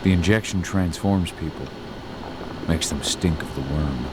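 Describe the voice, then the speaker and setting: A man's voice: extremely low pitch, unhurried. An actor playing a weary, remorseful middle-aged warrior father returned from exile, in a video game cutscene. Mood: businesslike. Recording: loud ambient noise behind the voice.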